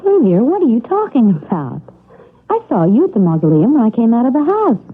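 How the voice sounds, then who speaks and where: A firm young wife, brisk and caring, bright lively voice, old radio play. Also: female voice, fairly high pitch, slight background noise. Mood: insistent. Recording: old radio broadcast, narrow band.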